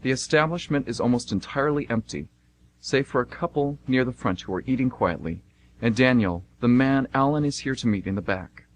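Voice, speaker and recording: A man's voice: mid-range voice, animated, fairly quick. A man hosting an amateur radio theater show and reading its scripted narration.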